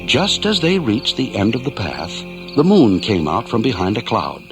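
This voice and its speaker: A man's voice: mid-range voice, big pitch swings, moderate pace. A man giving dramatic scripted narration of a children's story over music.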